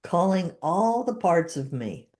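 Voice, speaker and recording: An older woman in her seventies with a low, mellow voice. She talks in an easygoing, conversational way, laughing at herself and echoing phrases back steadily. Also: very animated, a little slow. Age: 70s. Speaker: podcast host and therapist. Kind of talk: casual conversation, repeating tapping phrases.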